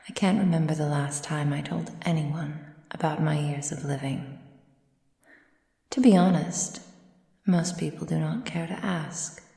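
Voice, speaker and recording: Slow, hushed ancient vampire noblewoman, acted by a woman. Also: low-pitched, fairly even intonation. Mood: proud, reflective. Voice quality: breathy, soft, often near whisper. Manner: slow, formal, measured, imperious. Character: ancient aristocratic female vampire, composed, possessive, proud. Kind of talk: ASMR roleplay monologue. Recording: roomy sound with long reverb.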